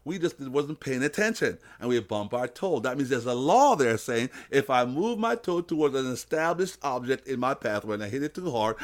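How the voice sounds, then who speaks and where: Male motivational podcast host, mid-range voice, lively intonation, emphatic preacher delivery. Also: medium pitch. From Jamaica. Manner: emphatic, preacher-like, illustrates with simple analogies. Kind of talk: motivational faith talk.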